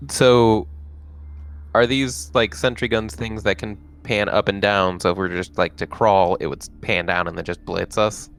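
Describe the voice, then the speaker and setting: A man's voice: fairly low pitch, fairly even intonation, moderate pace, a bit bright, smooth. A man talking casually as a player in a tabletop roleplaying game.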